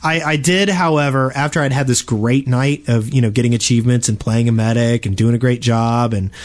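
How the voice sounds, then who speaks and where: A man's voice: medium pitch, fairly even intonation, fairly quick. A man co-hosting a casual gaming podcast, telling anecdotes in a rambling, conversational way. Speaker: podcast co-host.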